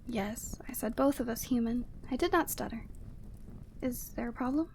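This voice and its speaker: A woman voicing a proud, lonely yeti woman in an ASMR roleplay, commanding yet tender and teasing, often slipping into breathy whispers. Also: a little high, moderate pace, slight background noise. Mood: bossy, warm. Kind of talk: ASMR roleplay, close and slow character acting.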